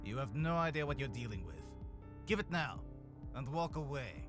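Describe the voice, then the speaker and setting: A man's voice: medium pitch, lively intonation, normal speed, over music, natural voice. An actor playing an agitated, menacing biker henchman in a video game. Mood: threatening.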